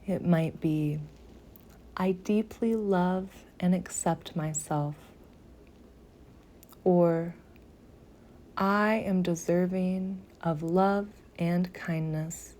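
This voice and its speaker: A woman guiding a meditation in a soothing, gentle manner, softly breathy at phrase ends, with long pauses between short phrases. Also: fairly low pitch. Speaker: yoga nidra meditation guide. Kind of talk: slow guided meditation.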